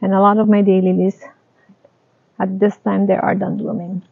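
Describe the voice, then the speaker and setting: A woman giving a casual tour of her garden, talking in a slow, halting, plain way. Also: medium pitch, little pitch movement, vocal fry, breathy edge, no echo. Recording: dry, tinny phone-like sound.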